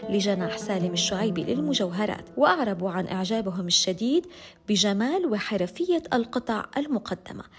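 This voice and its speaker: A woman's voice: medium pitch, lively intonation, fast talker. A woman presenter with a Levantine Arabic accent, delivering scripted promotional narration. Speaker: presenter and interviewer. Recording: music behind the voice. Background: background music.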